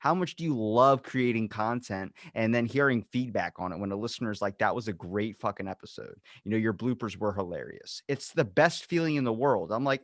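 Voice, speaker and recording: A man's voice: fairly low pitch, no echo. Young man, podcast host, blunt ranting, lots of swearing, dry close sound. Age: 20s. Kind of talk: casual podcast chat.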